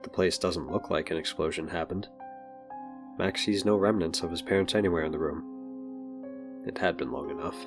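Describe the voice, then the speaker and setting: A man's voice: sits low, fairly even intonation, normal speed, long pauses, music in the background. A man narrating a scripted superhero audio drama. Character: narrator of a superhero adventure story.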